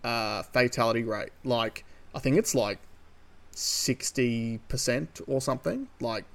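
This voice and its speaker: A man's voice: mid-range voice, a little slow, gravelly. An Australian man co-hosting a podcast, talking casually and opinionatedly in a sardonic way, with some casual swearing. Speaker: podcast co-host. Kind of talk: casual opinionated chat about news.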